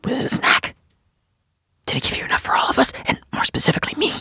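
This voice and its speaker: A woman acting in an audio drama as a brash, mouthy convict, crude and excitable. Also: quick pace, no room sound, in a whisper, studio quality, natural voice. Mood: indignant, excited.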